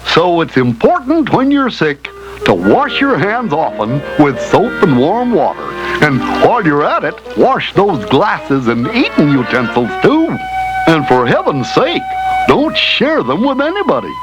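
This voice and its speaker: An actor playing a folksy, emphatic health teacher in an educational cartoon, on an old film soundtrack. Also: male voice, a little high, huge pitch swings, unhurried, poor audio. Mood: earnest, advising. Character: animated friendly male health teacher, folksy and instructive. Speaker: explainer guide. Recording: old 16mm film soundtrack, loud music.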